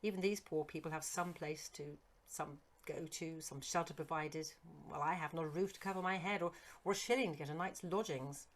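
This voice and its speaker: A woman reading a storybook and voicing a proud, despairing young Victorian gentleman with a curt, well-bred manner. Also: low-pitched, brisk, hardly any pauses, close to an everyday voice. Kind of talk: storybook character acting.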